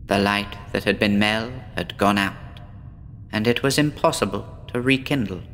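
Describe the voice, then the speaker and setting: A man playing a despondent, withdrawn woman patient in a horror audio drama, speaking in a brooding, deliberate, theatrical way. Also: lowish voice, fairly bright tone, a little hiss or hum, lightly acted. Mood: melancholy, despondent. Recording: long reverb with faint background ambience.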